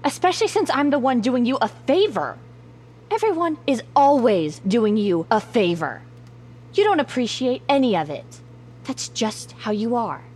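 Woman playing a high-pitched, fed-up coworker. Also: very animated, moderate pace, darkish tone, husky. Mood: angry, scornful. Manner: scolding, exasperated. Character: fed-up, scornful female coworker in a shop. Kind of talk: horror audio story acting.

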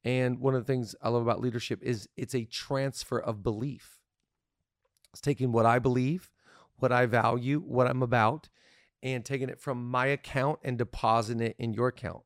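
A man's voice: medium pitch, steady tone, dry recording, studio quality. Upbeat man hosting a podcast. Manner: upbeat, playful, quick to laugh. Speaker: podcast host. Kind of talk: casual podcast talk on leadership.

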